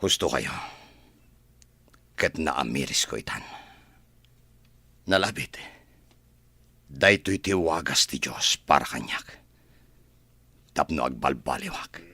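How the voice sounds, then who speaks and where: A man's voice: a bass voice, expressive, slow, pauses often, sharp tone, breathy edge, no echo, full character voice. A man playing a remorseful, earnest prisoner seeking forgiveness in an Ilocano radio drama. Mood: earnest, reflective. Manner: earnest, emotive.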